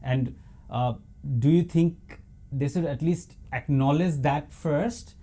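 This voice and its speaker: Hesitant podcast host, soft mellow voice. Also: male voice, on the high side, slow pace. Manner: hesitant, many restarts, constant soft backchannel murmurs. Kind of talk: casual interview.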